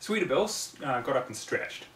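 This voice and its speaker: A man's voice: medium pitch, big pitch swings, brisk, slight background noise. Male amateur narrator for storybook reading, recorded at home with audible room echo and some distance from the mic. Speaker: fan fiction reader.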